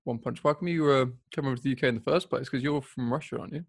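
A man's voice: medium pitch, very fast, vocal fry, breathy edge. A man hosting a casual interview, prompting his guest with friendly questions. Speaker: interview host.

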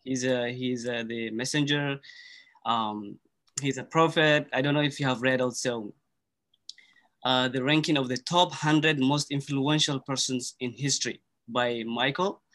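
A man's voice: mid-range voice, fairly even intonation, unhurried, fairly bright tone. A man whose first language is Somali, talking earnestly and thoughtfully in a casual podcast conversation. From Kenya. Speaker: podcast guest.

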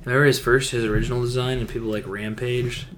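A man's voice: mid-range voice, little pitch movement, fast, fairly bright tone, a little hiss or hum. A man co-hosting a podcast, chatting about pop culture in an informal, slangy, conversational way. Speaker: podcast co-host. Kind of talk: casual pop-culture chat.

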